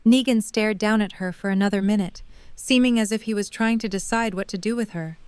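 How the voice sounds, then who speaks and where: A woman narrating a dramatic story from a script. Her voice is smooth and crisp at a middle pitch, recorded close and dry. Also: fairly quick, darkish tone, dry recording.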